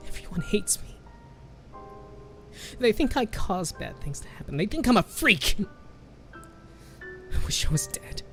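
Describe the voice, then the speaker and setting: A man's voice: fairly high pitch, expressive, moderate pace, long pauses, darkish tone, faint music behind, voice pushed up, natural voice. A man doing a despairing young orphan girl.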